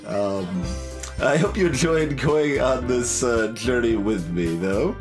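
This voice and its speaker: Halting man over loud background music. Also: mid-range voice. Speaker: host of a game playthrough, streamer. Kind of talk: casual unscripted gameplay commentary. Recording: music behind the voice. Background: guitar music.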